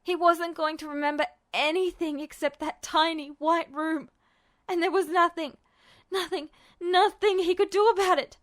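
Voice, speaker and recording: Female narrator for dramatic fiction, high-pitched voice, intense and expressive delivery. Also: normal speed. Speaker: podfic narrator.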